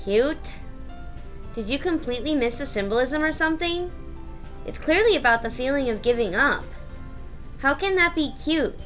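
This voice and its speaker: A woman voicing a bratty, prickly high-school girl in a visual novel, blunt and huffy in manner. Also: expressive, normal speed, pauses often, fairly bright tone, soft background music. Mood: huffy, snappish. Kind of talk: visual novel voice-over read aloud.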